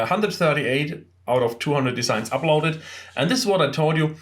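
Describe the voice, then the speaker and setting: Man, from central Europe, English not his first language, earnest, plain-spoken, fillers and restarts, mid pitch, echoey room. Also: a bit bright. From small country in central Europe. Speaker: side-hustle vlogger. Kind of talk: casual screen-share explainer.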